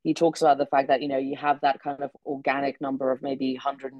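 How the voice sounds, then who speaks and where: Young Czech-born woman, British English accent, fluent chatty podcast guest, flat even delivery, dry recording. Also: rumbling low, dry recording, highs cut off. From Czech Republic. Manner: quick, chatty, with many "kind of" and "like" fillers and frequent laughs. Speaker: guest, researcher. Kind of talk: casual interview chat. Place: remote call from home.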